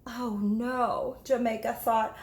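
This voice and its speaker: A woman teacher reading a storybook aloud to children in an expressive, instructive manner. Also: a little high, slow pace. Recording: echoey room.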